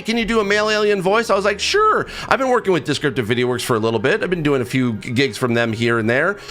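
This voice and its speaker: Man, casual vlog host, excitable, emphatic, self-interrupting, faint background music. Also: on the high side. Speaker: video game accessibility reviewer and vlog host. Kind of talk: casual personal vlog talk to camera.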